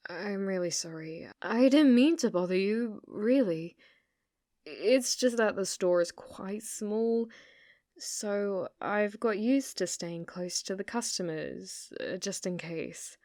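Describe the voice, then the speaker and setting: Slow, soft-spoken British shop girl played by a woman. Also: mid-range voice, no room sound, clean studio sound, close to an everyday voice. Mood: helpful, sheepish. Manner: hesitant, apologetic, solicitous, with soft giggles. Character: sweet, shy shop clerk woman, secretly obsessive and possessive. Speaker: ASMR roleplay performer. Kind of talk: soft-spoken ASMR roleplay acting.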